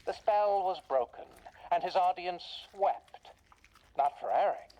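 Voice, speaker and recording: A woman playing a folksy, eerie radio host who narrates macabre horror tales with theatrical pauses in a video game. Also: lowish voice, lo-fi sound, natural voice. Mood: eerie, dramatic.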